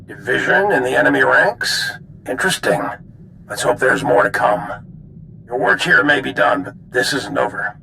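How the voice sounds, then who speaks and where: A stern general with a heavily gravelled, forceful voice. He briefs in a measured, authoritative and businesslike way, in the manner of a video game cutscene. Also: medium pitch, lively intonation, a little hiss or hum, heavily acted, low-quality recording. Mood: appraising.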